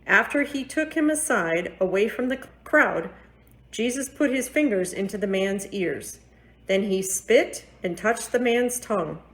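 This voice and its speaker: A woman's voice: medium pitch, lively intonation, a little slow, poor audio. A woman reading a Bible story aloud as a church scripture reader.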